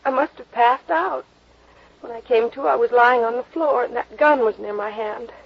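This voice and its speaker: A shaken, hazy young woman: breathy, fairly high voice, halting delivery, lo-fi old radio sound. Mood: dazed, shaken. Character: dazed, frightened young woman suspected of murder. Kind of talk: 1950s radio drama acting. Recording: dull old radio broadcast recording.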